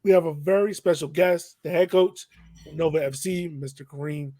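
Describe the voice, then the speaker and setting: Male sports podcast host, relaxed dark timbre, conversational delivery, very dry recording. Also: on the high side, normal speed, no echo. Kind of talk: casual sports podcast chat.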